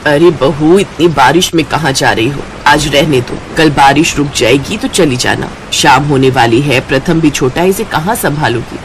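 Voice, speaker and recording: A woman voicing a worried, gently scolding older mother-in-law in a cartoon story. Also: deep voice, normal speed, few pauses, a little hiss or hum, close to an everyday voice, poor audio. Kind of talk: Hindi cartoon story voice acting.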